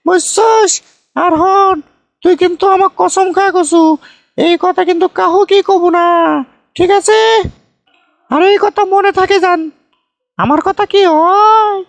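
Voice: very high voice, steady tone, a little slow, long pauses, no echo. A performer voicing a bossy, foolish middle-aged village aunt in a comic cartoon, folksy and repetitive, with a northern Bangladeshi Bogra accent. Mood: scolding. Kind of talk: comic cartoon dialogue, rambling storytelling.

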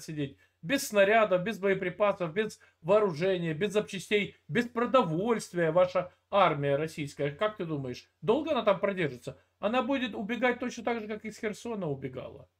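An older man with a notably high voice lectures and scolds in a heated video chat. He talks into an earphone cable mic from a room at home, often cutting in to finish his point. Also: animated, normal speed. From Russia. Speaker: video chat channel host. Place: indoor room, video call.

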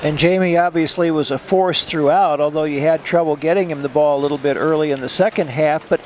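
A man with a fairly high voice hosts a postgame sports interview, recapping play for his guest. He is on a headset, with faint arena noise in the background. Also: steady tone, fairly quick, a little mellow. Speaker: radio sports broadcaster. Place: large, mostly empty indoor arena.

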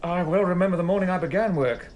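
A man's voice: high voice, quick pace, a little mellow, lightly acted. An actor playing a conscientious, troubled prison keeper reporting respectfully to his superior in a radio drama. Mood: troubled, earnest.

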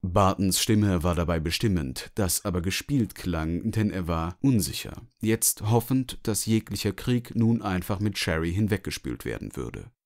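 A man's voice: sits low, expressive, moderate pace, hardly any pauses, darkish tone. A man giving scripted literary narration as the narrator of a story.

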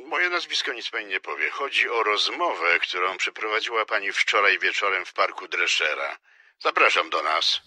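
Forceful, husky, curt official. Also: male voice, fairly low pitch, lively intonation, moderate pace, full character voice. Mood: curt, insistent. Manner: clipped, formal. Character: curt, insistent male official, quietly menacing. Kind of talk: dramatized crime novel.

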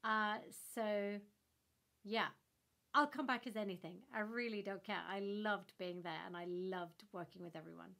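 A woman's voice: mid-range voice, normal speed, gravelly. Norwegian-born actress guest in her 30s, eager and gesturing, webcam call from home. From Norway. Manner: enthusiastic, animated with hand gestures. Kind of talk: remote interview answers. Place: home room in front of a floral wall. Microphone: laptop webcam on a video call.

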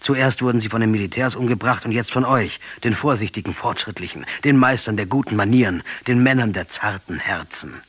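A captured guerrilla leader in a radio drama, raspy lowish voice, defiant and mocking, argues hard. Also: male voice, a little fast, hardly any pauses, fairly bright tone, dry recording. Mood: accusing, pleading. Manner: combative, mocking, rhetorical, insistent. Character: defiant, passionate, sardonic captured guerrilla commander facing death. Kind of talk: German radio drama acting.

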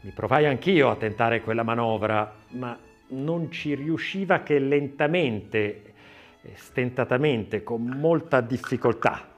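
A man reading a literary text as narrator, expressively and theatrically, with measured phrasing and varied emphasis. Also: mid-range voice, soft background music.

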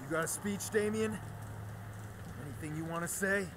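A man's voice: sits high, normal speed, lightly acted. An actor playing a sardonic, defiant man in a crime game, curt and dryly dismissive. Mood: challenging. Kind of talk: game cutscene acting. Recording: rain noise over voice.

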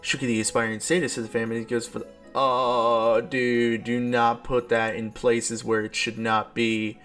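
A man's voice: mid-range voice, level tone, normal speed, a bit bright. A young adult man reading a visual novel aloud as a streamer, with casual, slangy, exclamatory reactions. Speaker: let's play streamer. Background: background game music.

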